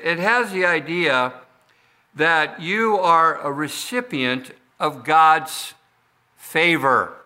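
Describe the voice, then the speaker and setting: A man preaching a sermon, slowly explaining a Bible passage verse by verse with frequent restarts. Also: on the high side, pushed and bright. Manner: slow, deliberate expository preaching with frequent restarts. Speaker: pastor.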